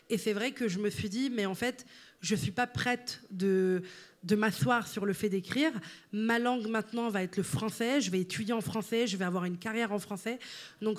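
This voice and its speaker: A woman who grew up in Italy, Italian as her first language, talking at length as an interview guest with frequent fillers. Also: medium pitch. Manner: fluent and expansive, many fillers. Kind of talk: interview conversation.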